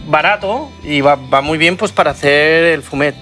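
Bright-voiced Spanish chef co-host. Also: male voice, fairly high pitch, normal speed, faint music behind. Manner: explains cooking steps, addresses viewers. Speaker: TV cooking show co-host and chef. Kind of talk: TV cooking demonstration. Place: TV studio kitchen set.

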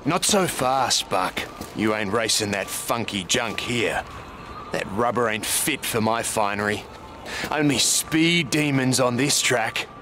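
An actor playing a sly wasteland race master in a video game, with florid, preacher-like theatrical oratory. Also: male voice, medium pitch, slow, bright, forceful tone, faint music behind. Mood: sly, commanding. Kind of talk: theatrical game character acting.

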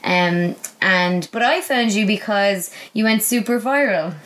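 A woman's voice: mid-range voice, a little slow, a bit bright, slight background noise. A young Irish woman hosting a podcast in casual chat, informally prompting her guest. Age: young adult. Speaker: podcast host. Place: small indoor room with beanbags. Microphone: podcast microphone on stand.

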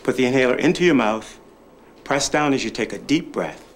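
Casting: male actor as middle-aged doctor, mid-pitched, measured, reassuring, educational video. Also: lively intonation, slight background noise, lightly acted. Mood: calm, reassuring. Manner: measured, instructive. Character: calm, reassuring family doctor explaining asthma. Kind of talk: scripted educational explanation.

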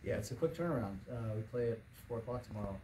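A man's voice: medium pitch, animated, fast. A middle-aged man, a volleyball coach, answering questions at a post-game press conference in an indoor room. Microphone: distant camera microphone. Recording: distant camera pickup with clear room noise.

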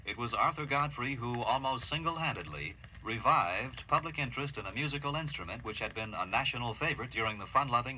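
A very bright, crisp-edged American man narrates a scripted documentary like a polished announcer. His pitch sits in the middle, and a light layer of vinyl record noise sits under him. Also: rough recording. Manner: polished, measured announcer delivery. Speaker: radio history narrator.

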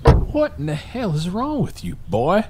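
An actor playing a short-tempered, threatening kidnapper. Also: male voice, high voice, huge pitch swings, unhurried, very noisy, full character voice. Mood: menacing. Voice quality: gruff, threatening. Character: menacing short-tempered male kidnapper driver.

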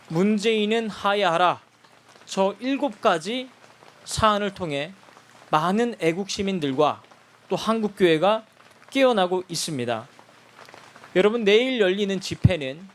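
A man giving a formal, exhortatory rally announcement, speaking solemnly and emphatically in short, deliberate phrases. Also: high voice.

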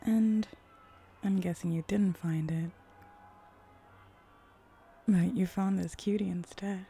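Woman as a husky, teasing hellhound seductress. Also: fairly even intonation, mellow, full character voice. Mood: playful. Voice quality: low, husky, creaky. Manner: slow, teasing, seductive, drifting into breathy whispers. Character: flirty, teasing female hellhound, a sly demon pack hunter. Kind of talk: roleplay ASMR audio drama.

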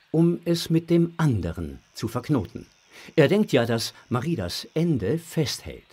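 A man's voice: a little high, darkish tone, husky. A man narrating a scripted audio drama for children.

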